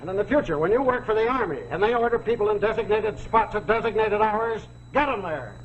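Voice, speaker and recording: An actor playing a brusque, demanding army official who gives clipped orders in a 1940s television drama. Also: male voice, high-pitched, expressive, brisk, crowd noise. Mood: brusque, urgent. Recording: dull, noisy 1940s TV soundtrack.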